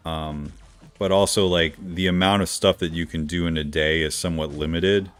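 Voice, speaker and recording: A man streaming a game live, chatting casually with viewers, with a smooth radio-like voice and a slightly stuffy nose from allergies. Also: deep voice, normal speed, faint music behind. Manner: relaxed, chatty, greets viewers by name. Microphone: decent studio microphone.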